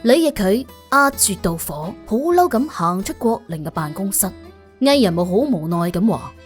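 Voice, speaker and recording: A woman narrating a suspense audiobook in an expressive, colloquial storytelling style. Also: medium pitch, moderate pace. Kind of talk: scripted suspense storytelling in Cantonese. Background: music under the voice.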